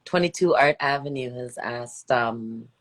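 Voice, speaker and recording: Expressive woman host with tinged speech. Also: very deep voice, a little slow. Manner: expressive, slips into patois phrasing. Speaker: interview host. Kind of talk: casual live interview hosting. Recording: livestream video call.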